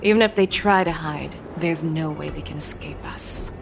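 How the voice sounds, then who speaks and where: A woman playing a proud, composed female general in a video game, speaking with measured authority. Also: low voice, gravelly, over loud music. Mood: composed, confident. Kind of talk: dramatic video game voice acting.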